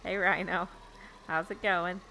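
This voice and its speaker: Bright gravelly-voiced woman streamer, chatty. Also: on the low side, fairly even intonation, measured pace, slight background noise, low-quality recording. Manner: chatty, giggly, thinking aloud. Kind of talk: casual gameplay chat. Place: home room indoors. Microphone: headset.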